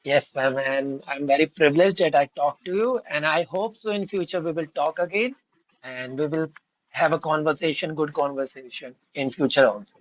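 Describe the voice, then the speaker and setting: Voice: medium pitch, normal speed, no echo. Polite speaker with an Indian accent, dull sound. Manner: polite, uses "ma'am" often; rambling, says "like" often. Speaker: podcast guest, English learner. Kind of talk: casual conversational podcast.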